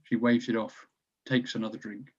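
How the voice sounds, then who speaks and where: A man's voice: on the low side, flat delivery, normal speed, slightly rough, highs cut off. A man reading stage directions as scripted narration for a screenplay table read.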